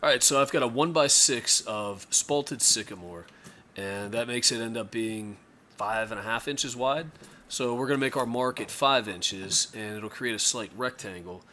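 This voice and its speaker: A man hosting a casual woodworking tutorial in his workshop, relaxed and self-deprecating. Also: medium pitch, moderate pace, a little hiss or hum. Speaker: woodworking host. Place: home woodshop.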